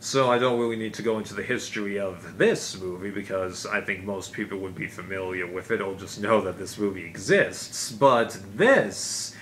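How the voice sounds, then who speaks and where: A man's voice: fairly low pitch, moderate pace, few pauses, a bit bright, poor audio. A man giving a casual, unscripted review as a video host, explaining things in a rambling way. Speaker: video host, DVD collector. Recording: clear background noise.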